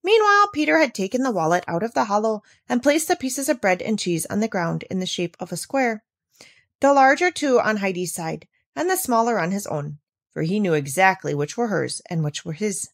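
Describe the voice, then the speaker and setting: A woman's voice: mid-range voice, no room sound, studio quality. Woman reading a storybook aloud. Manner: measured, expressive reading. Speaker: reader of a children's classic.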